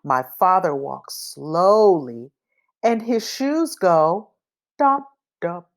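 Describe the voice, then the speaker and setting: Woman reading a picture book, very unhurried. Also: fairly low pitch. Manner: deliberate, expressive, acts out sound effects. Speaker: read-aloud reader. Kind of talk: children's picture book read-aloud.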